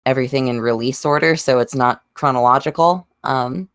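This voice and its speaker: A man's voice: medium pitch, a little fast, a bit bright, clean tone. A man with a mid-range voice chats casually as a podcast panelist. The sound is dry, with no room echo at all. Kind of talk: casual group podcast chat.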